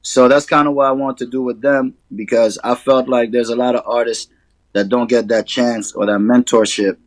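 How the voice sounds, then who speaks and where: A man from Sudan, a rapper guest, talking in a relaxed, casual way with hip hop slang. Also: mid-range voice, normal speed, dry recording. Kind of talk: casual interview chat.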